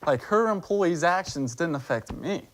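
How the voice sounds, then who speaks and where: A man answering interview questions in a halting, conversational way. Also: fairly high pitch, expressive. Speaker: interviewee in a news report.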